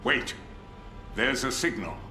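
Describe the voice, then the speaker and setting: A watchful advisor in a war game. His mid-pitched voice is grave and formal, with echo and music around it. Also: animated, slow pace. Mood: intent, surprised. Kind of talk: dramatic video game acting. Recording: echoey, clear music behind.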